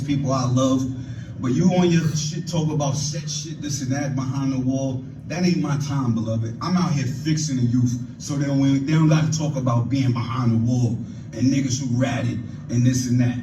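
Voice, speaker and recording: A man's voice: medium pitch, steady tone, moderate pace. Scolding New York man, echoey and noisy. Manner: scolding, blunt, profane. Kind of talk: confrontational street-slang rant. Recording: echoey, distant, noisy played-back clip.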